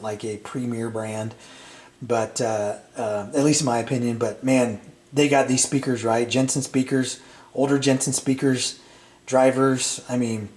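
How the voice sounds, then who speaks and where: A middle-aged man chatting casually and unscripted as a video host, in a halting, rambling way with hesitant phrasing. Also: medium pitch, darkish tone. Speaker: vintage audio repair channel host. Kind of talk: casual unscripted product chat. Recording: roomy, echoey sound.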